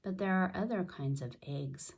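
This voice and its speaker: A woman's voice: on the low side, normal speed, slightly rough. A woman voicing a genial, food-loving father in a children's storybook. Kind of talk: children's storybook read-aloud.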